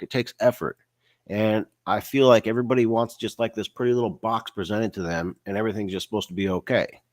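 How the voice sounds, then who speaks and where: A man's voice: fairly low pitch, dry recording, clean studio sound. A man with a stuffy, nasal voice from a cold, giving a casual motivational talk about mindset and business, often tagging on "right?" and "you know". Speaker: online business coach.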